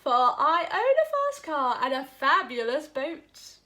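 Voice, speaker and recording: Lady doing a show-off goat for a kids' story, lonely guy, really high voice, takes its time. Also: big pitch swings, takes it slowly, fairly bright tone, full character voice. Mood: boastful. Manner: bragging, showy, exclaiming. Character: lonely, boastful male goat in a tower.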